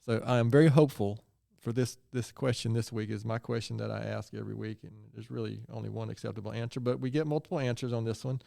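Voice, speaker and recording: A man hosting a casual podcast interview. His voice has a gravelly, creaky edge and is recorded dry and close. He chats in a friendly way and wanders into rambling asides. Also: mid-range voice, fairly even intonation, fast, few pauses, warm tone, dry recording. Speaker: podcast host.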